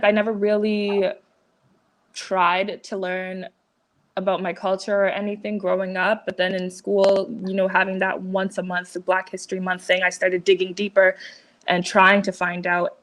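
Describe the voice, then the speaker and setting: A woman answering a panel question in a flat, even voice that barely rises or falls. She talks casually and hesitantly, leaning on "you know" and "like" between thoughts. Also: mid-range voice, low-quality recording. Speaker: panel guest. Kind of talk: panel discussion.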